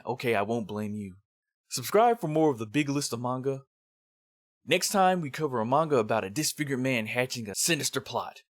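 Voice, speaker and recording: A man's voice: mid-range voice, lively intonation, a little fast, dry recording, studio quality. A man presenting a review in semi-scripted narration with casual, rambling asides. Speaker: host of a manga review series.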